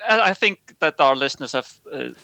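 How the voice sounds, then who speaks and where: Animated Swedish man, bright higher voice. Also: normal speed, creaky, no echo. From Sweden. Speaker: podcaster, interview guest. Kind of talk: casual interview chat.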